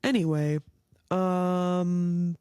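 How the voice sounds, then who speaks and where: A young woman chats on her own podcast in a loose, rambling way, full of "like" and side tangents. A lingering cold leaves her slightly nasal and raspy, recorded close and dry with no room sound. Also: on the low side, little pitch movement, extremely slow, dark tone, dry recording. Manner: chatty, rambling, lots of "like". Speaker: podcast host. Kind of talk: casual solo podcast chat.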